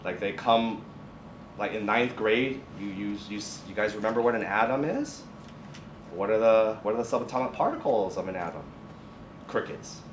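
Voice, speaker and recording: Low voiced guy teacher talking informally, keeps restarting sentences, echoey room mic far away. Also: animated. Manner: halting, self-correcting, restarts phrases. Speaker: high school biotech and science teacher, guest speaker. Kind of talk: informal presentation with question and answer. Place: classroom or lab at a college. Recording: echoey room, distant mic, faint noise.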